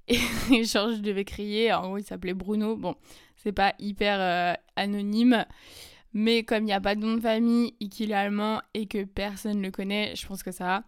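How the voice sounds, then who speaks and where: A young woman telling a personal story in casual, chatty French, full of fillers and quick asides. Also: medium pitch, smooth, dry recording, clean studio sound. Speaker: podcast host. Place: lives in Germany.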